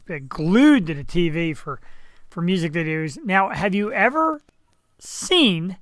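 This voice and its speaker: A man's voice: sits high, sing-song, measured pace, fairly bright tone, no echo. Playful male talk-show host, dry sound. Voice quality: slightly nasal. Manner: playful, self-deprecating banter. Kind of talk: casual live-stream chat. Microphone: computer microphone, online show.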